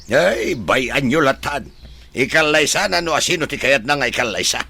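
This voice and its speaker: A man acting a protective, reasonable older father in an Ilocano radio drama, with a husky voice and an Ilocano accent. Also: medium pitch, expressive, moderate pace, bright, forceful tone, heavily acted. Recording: faint noise behind the voice.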